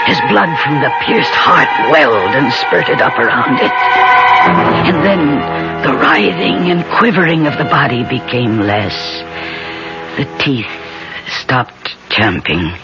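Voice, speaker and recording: An actor playing a haunted witness who narrates a gothic horror tale for radio, intense and deliberate. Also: male voice, a little high. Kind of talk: dramatic first-person radio narration. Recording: dull vintage radio broadcast.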